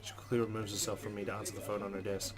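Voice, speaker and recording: A man's voice: lowish voice, flat delivery, very fast. A man hosting a let's play, reading game text aloud dramatically and adding wry asides. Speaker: gaming host. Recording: faint background noise.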